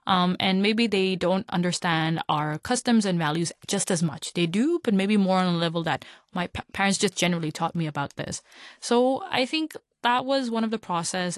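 A young Hong Kong woman as a guest on a studio talk show, reflecting conversationally in a slightly low voice. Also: no room sound. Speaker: interview guest. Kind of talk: conversational, reflective personal account.

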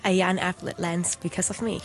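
A woman's voice: fairly low pitch, fairly even intonation, fairly quick, soft background music. Young woman. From Hong Kong. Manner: short hesitant answers, simple phrasing. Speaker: guest, tricking athlete and dancer. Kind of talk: casual interview answers.

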